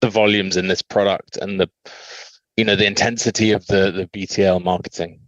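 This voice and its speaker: Low, even-voiced male analyst, halting. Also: dry recording. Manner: hesitant, halting phrasing with repeated words. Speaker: analyst asking questions. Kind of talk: question on an earnings call. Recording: dry, dull conference-call audio.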